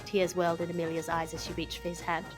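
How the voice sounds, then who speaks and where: A woman's voice: lowish voice, monotone, fast talker, close to an everyday voice. Woman putting on an overwrought period romance narrator, music behind. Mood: earnest, dramatic. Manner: earnest, florid, dramatic delivery. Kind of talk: mock romance audiobook narration.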